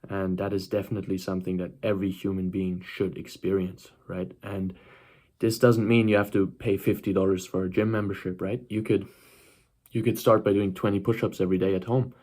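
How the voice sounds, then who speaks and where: A man's voice: sits low, little pitch movement, fairly quick, a little mellow. A nineteen-year-old man giving a casual motivational talk to camera, speaking emphatically, repeating himself and often checking in with the listener. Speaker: self-improvement vlogger.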